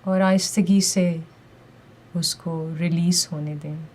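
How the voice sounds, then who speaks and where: A woman leading a guided meditation with calm, gentle, deliberate instructions and pauses between phrases. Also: on the low side.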